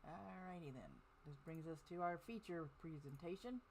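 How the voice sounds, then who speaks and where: A woman host with a slightly low voice. She talks casually, moving between announcements and panel chat. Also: expressive, normal speed, background noise. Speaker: host of an old-time radio show.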